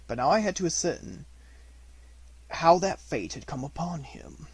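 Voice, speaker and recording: A man giving an expressive, deliberate amateur audiobook reading of a classic detective story, as scripted narration. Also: medium pitch, slightly rough, rough recording.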